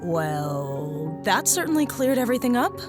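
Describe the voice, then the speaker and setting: An actress playing a sarcastic, combative crew member in an audio drama, taunting and quick to gloat. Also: female voice, on the low side, very animated, over music.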